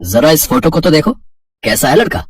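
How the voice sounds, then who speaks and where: A man's voice: a little high, very animated, normal speed, far from the mic, a little hiss or hum. A man voicing a teasing, doting middle-aged father in a Hindi cartoon story. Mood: upbeat. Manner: playful, teasing.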